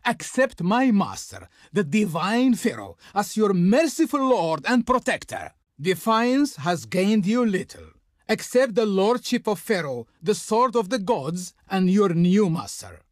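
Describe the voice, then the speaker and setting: A grandiose servant of the Pharaoh, a video game diplomat full of haughty ceremony. The delivery is slow and theatrical, with wide, sweeping intonation. Every phrase is formal and declaimed, in a dry, close studio sound. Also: medium pitch, very animated, no room sound. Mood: pompous persuasion. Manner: pompous, declamatory, formal, drawn-out. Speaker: game character, faction envoy.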